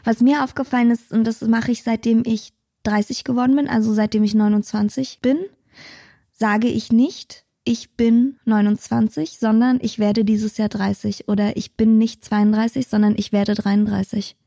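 A woman's voice: fairly high pitch, little pitch movement, normal speed, gravelly, warm tone, no echo, studio quality. A woman, co-hosting a podcast in casual chat, teasing and laughing often. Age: 30s. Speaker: podcast co-host.

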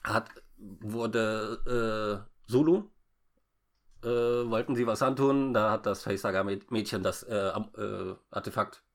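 A man with a fairly low voice gives a casual, unscripted recap. He speaks haltingly, stammering over words and restarting his sentences as he goes. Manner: halting, stammering, frequent false starts. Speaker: podcast co-host recapping a film.

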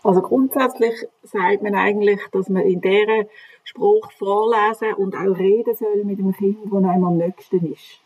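A woman who calmly explains and gives advice as an expert guest in an interview. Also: mid-range voice, moderate pace, slightly rough, no echo, phone-quality sound. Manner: calm, explanatory, advising. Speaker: reading and language development expert.

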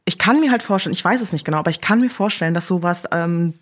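A young woman speaks slowly and reflectively in an interview, her voice sitting a little low. She pauses often and circles around her thoughts with fillers before landing on a word. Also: animated. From Germany. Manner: hesitant, searching for words with many fillers. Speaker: interview guest.

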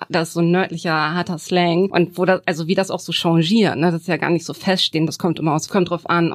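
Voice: medium pitch, no echo, clean studio sound. A panel guest whose first language is Turkish, talking in a casual, rambling way with slangy fillers.

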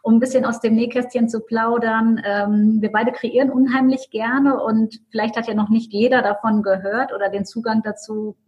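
A woman's voice: on the high side, level tone, normal speed, few pauses, a little mellow, highs cut off. A woman hosting a business podcast, effusive and enthusiastic as she greets and questions her guest. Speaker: podcast host. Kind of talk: podcast interview.

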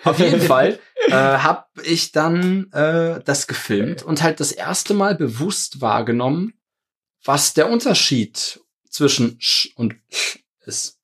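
A man telling a story in a relaxed podcast chat, laughing often. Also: on the high side, slow, slightly rough. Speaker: podcast co-host, magician.

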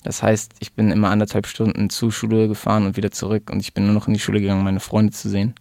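A man in his twenties talks about his life in an easy, rambling way, with plenty of fillers and restarts. His voice sits low and barely moves in pitch. The sound is close and dry. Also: monotone, fast talker, gravelly, darkish tone, no echo. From Germany. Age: 20s. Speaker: rapper guest. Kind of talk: casual interview chat.

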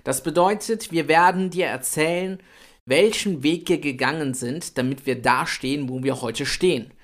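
A man in his late twenties co-hosting a podcast, talking in a chatty, energetic way about building an online business. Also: a little high, moderate pace. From Italy. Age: 20s. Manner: energetic, chatty, swears lightly. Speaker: podcast co-host. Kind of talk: casual podcast chat.

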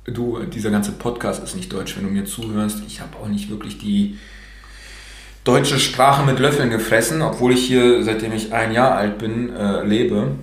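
A man's voice: lowish voice, fairly even intonation, moderate pace, reverberant. A man from Romania, raised bilingual in Germany, with a rolled R, talking frankly and casually as a podcast host, swearing now and then and using many fillers. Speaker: podcast host being interviewed. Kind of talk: casual motivational talk.

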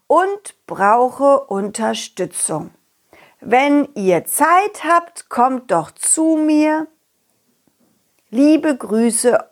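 A woman voicing a gentle, unwell madame through her letter, speaking in a measured, deliberate way. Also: a little high, heavily acted. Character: sick madame reading as letter writer, gentle and frail.

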